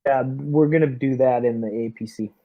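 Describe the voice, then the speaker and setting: A man playing a tabletop roleplaying game as squad leader, giving deliberate, military-style orders. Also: medium pitch, steady tone, no echo, phone-quality sound. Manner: deliberate, military briefing phrasing. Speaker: tabletop RPG player leading the squad as lieutenant.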